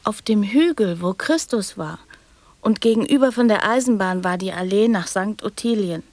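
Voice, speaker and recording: A woman's voice: mid-range voice, normal speed, a little mellow, no room sound, close to an everyday voice. An actress playing a young girl in a radio drama, prattling and teasing in childlike fragments that often drop to whispers. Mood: dreamy recounting.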